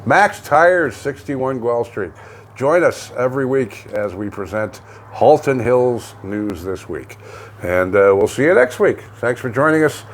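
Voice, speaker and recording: An older Canadian man chats as co-host of a community radio show from a home studio. His mid-range voice moves up and down in a lively way, and his delivery is folksy and rambling. Faint noise sits behind him. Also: mid-range voice, measured pace. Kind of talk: casual co-hosted chat.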